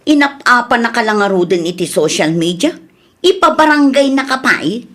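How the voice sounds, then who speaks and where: A woman playing a meddling, protective mother in a radio drama, with an animated, scolding manner. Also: on the high side, normal speed. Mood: indignant gossiping. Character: meddling, hot-headed mother, protective of her grown son. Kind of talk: Ilocano radio drama acting.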